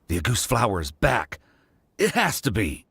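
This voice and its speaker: An actor playing a gruff, moody, obsessive younger brother in a video game, husky and abrupt. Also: male voice, on the low side, wildly swooping pitch, a little slow, fairly bright tone, full character voice. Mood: vehement, disbelieving. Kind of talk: dramatic English game voice acting.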